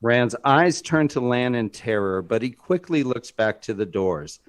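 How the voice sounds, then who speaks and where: A man's voice: mid-range voice, expressive, measured pace, smooth. A man reading scripted dramatic narration as the narrator of a screenplay.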